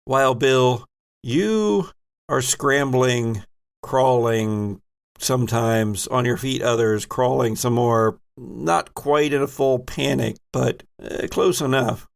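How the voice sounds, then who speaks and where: A man's voice: medium pitch, takes it slowly, vocal fry. A man acting as game master, narrating and running a tabletop horror role-playing game.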